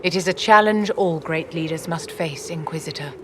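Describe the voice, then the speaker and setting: Casting: haughty game aristocrat, low register, poised and condescending, over clearly noisy ambience. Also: female voice, normal speed, fairly bright tone. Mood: grave, instructive. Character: imperious, elegant court lady, poised and calculating. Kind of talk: dramatic video game acting.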